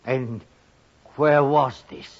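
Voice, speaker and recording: An actor playing a wily condemned thief, wheedling and scheming in a raspy voice, in a 1950s radio drama. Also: male voice, mid-range voice, fairly even intonation, drawn-out words. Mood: sly menace. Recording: muffled vintage 1950s radio recording.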